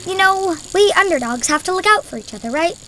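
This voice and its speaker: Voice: very high voice, expressive, fast, sharp tone, slight background noise, full character voice. An actor playing a feisty, bold young girl in a storybook read-along. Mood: confiding.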